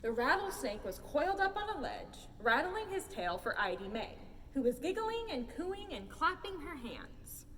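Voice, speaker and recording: A woman reads a children's story aloud with big swoops in pitch and a theatrical delivery. Her voice is high, and it rings in a large echoing space, away from the mic with faint noise behind. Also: normal speed, hardly any pauses. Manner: theatrical, expressive. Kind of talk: animated children's picture book read-aloud. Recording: roomy hall, distant mic, faint noise.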